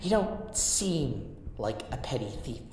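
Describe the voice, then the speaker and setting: A woman playing a motherly but dangerous lycan mercenary in an intimate roleplay audio drama, teasing in a slow purr. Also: a bass voice, big pitch swings, a little mellow, a little hiss or hum, exaggerated voice. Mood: coaxing, flirtatious. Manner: slow, teasing, purring endearments. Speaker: amateur voice actor. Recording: echoey reverb with music underneath.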